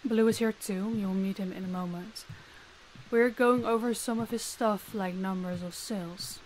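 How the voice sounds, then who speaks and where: A woman's voice: medium pitch, fairly even intonation, normal speed, darkish tone, lightly acted, low-quality recording. Audio drama: actress as demanding female underworld boss, mid-range voice, formal, authoritative, faint noise. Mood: cool, polite. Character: commanding, demanding female crime boss, coolly businesslike. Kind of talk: roleplay audio drama acting.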